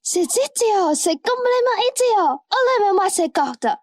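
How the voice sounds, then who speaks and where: Young woman acting a high-voiced, lovestruck, headstrong girl. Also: expressive, unhurried, no room sound, studio quality. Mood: indignant, insistent. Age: young adult. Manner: insistent, pleading, bossy. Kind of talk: French comic radio play acting. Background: music.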